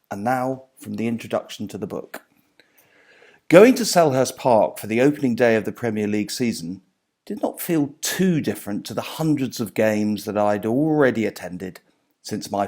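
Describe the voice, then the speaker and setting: A middle-aged or older man reading aloud from a book as its author, measured and phrase by phrase. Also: fairly low pitch, creaky, a little mellow. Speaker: author and sports journalist reading own book.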